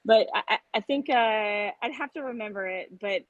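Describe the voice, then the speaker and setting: A young woman from South Texas chats earnestly as an interview guest. She restarts her phrases often and keeps talking quickly. The sound is dry and close, with no room echo. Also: mid-range voice, phone-quality sound. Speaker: singer-songwriter guest. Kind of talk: casual interview conversation.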